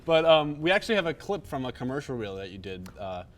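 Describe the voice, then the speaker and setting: A man's voice: medium pitch, sing-song, fast talker, noisy background. A young man hosting a college talk show, upbeat and chatty, laughing often. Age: young adult. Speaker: host. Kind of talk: casual interview. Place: college studio set.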